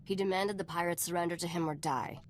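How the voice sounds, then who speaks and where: A woman's voice: fairly low pitch, steady tone, fast talker, slight background noise, close to an everyday voice. A woman voice-acting a cool, knowing smuggler informant in a video game, wry and composed as she tells stories.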